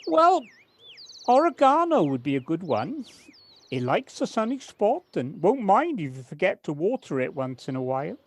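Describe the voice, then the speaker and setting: An actor playing a kindly, modest gardener in an audio drama, hesitant and polite with slight stammers. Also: male voice, high voice, huge pitch swings, moderate pace, exaggerated voice. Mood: keen, encouraging. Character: kindly, hesitant village gardener, Alan, modest and nature-loving. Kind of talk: amateur audio drama acting.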